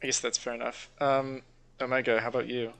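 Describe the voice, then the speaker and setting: Bright-voiced young man, casual chat. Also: mid-range voice, level tone, brisk, gravelly. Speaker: podcast host.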